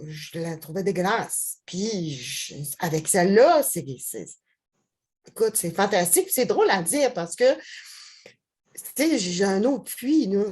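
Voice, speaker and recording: A woman with a Québécois accent gives a lively, rambling personal testimonial over a video call, full of restarts. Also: medium pitch, slightly rough. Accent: Québécois French. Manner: animated storyteller, rambling with many restarts. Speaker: customer giving a testimonial.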